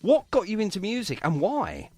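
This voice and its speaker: Lively, higher-pitched male radio host. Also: moderate pace, no room sound, soft background music. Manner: effusive, complimentary. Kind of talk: chatty radio music interview.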